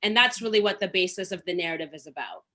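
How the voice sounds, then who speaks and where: A woman's voice: on the low side, lively intonation, fast, sharp tone. A woman from Zimbabwe speaking as a panel guest on a video call, earnest and storytelling, with many fillers. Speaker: panel guest, store founder. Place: home room with shelves, indoors. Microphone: laptop webcam on a video call.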